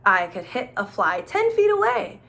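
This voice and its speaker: A woman teacher reading a picture book aloud to young children, playful and expressive, pausing to ask simple questions and explain. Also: a little high, vocal fry. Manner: expressive, playful, with gasps and exclamations. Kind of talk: children's storybook read-aloud with questions and asides.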